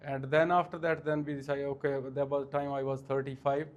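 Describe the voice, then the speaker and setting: A man in his forties from India with an Indian accent, answering interview questions in a halting way with many fillers. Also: mid-range voice, little pitch movement, highs cut off. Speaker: guest, franchise owner.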